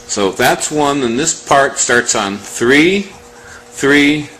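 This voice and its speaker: A man giving a step-by-step music lesson in a deliberate, measured, instructional manner as the teacher. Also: mid-range voice, expressive, bright, forceful tone, a little hiss or hum, poor audio. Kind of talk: step-by-step music lesson, calling out scale numbers.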